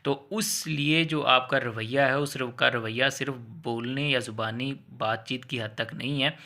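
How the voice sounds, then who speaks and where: A man's voice: medium pitch, fairly even intonation, a little slow, sharp tone. A man with a Pakistani accent giving an explanatory law lecture in a conversational, step-by-step way, repeating points and mixing in English legal terms. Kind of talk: explanatory lecture with hypothetical examples.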